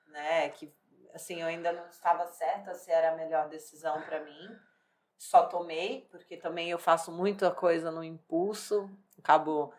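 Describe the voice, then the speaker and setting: Low-voiced woman telling her story. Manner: candid, rambling, with many fillers and restarts. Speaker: bodybuilding athlete guest. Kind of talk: personal life story in casual chat.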